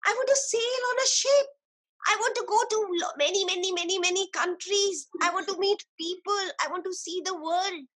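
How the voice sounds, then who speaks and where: A woman's voice: high voice, takes it slowly, a bit bright, exaggerated voice, poor audio. A woman voicing a boy grown into a tired man, full of wishes, over a video call. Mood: eager. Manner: eager, pleading.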